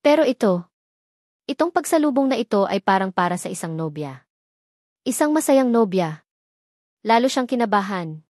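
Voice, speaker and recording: A woman's voice: medium pitch, normal speed, long pauses, no room sound. Woman narrating a romance drama, dry. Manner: steady, dramatic storytelling. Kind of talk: scripted narration of a romance story.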